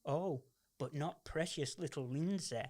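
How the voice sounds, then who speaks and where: A woman's voice: sits low, unhurried, warm tone. A woman playing a hard, scornful ex-military relative in a radio play, speaking bluntly and dismissively.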